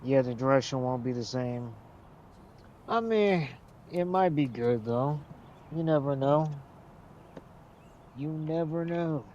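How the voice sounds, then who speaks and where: A man's voice: medium pitch, takes it slowly, long pauses, mellow, muffled recording, slight background noise. A young man streaming a game, chatting casually, rambling, talking to himself, and swearing often. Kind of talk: casual gaming stream chat.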